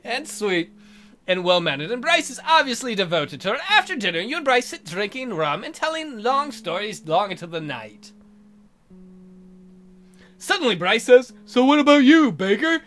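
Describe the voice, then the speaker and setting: A man's voice: high voice, big pitch swings, moderate pace, bright, forceful tone, soft background music. A man hosting a let's play, reading a text adventure aloud with goofy, crude asides.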